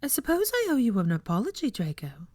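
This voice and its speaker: A woman voicing a gentle, dreamy young woman with a soft, wistful way of speaking in a dramatic story reading. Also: fairly high pitch, huge pitch swings, quick pace, no room sound, clean studio sound, pitched above the performer's own voice. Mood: sad, apologetic.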